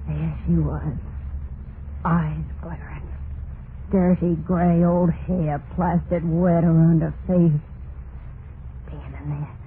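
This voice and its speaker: Bitter murderess on tinny old radio. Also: female voice, on the low side. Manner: terse, snappish, grimly dramatic. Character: hard, bitter murderous woman confessing her crime. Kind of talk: old-time radio horror acting, first-person confession. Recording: tinny, noisy vintage radio recording.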